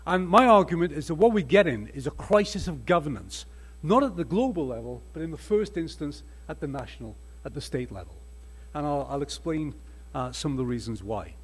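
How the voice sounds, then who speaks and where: An older man with a Welsh accent lectures from a panel table into a desk mic. His voice is husky and sits a little high, and his delivery is earnest and emphatic, often restarting phrases. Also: lively intonation, a little fast. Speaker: panel speaker. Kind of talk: conference panel talk with slides. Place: conference hall panel table. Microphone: table microphone.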